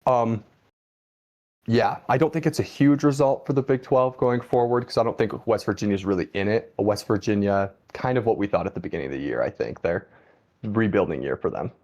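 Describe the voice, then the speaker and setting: Low-voiced man hosting a sports chat. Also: fairly quick, darkish tone. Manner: conversational, many "I mean" and "like" fillers. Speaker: host. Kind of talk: casual sports talk.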